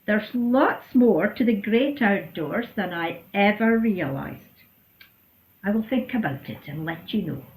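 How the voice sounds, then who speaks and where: A woman voicing a fussy, cheerful young male goat who talks in a bouncy, excitable way. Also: mid-range voice, measured pace. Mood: excited. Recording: roomy echo.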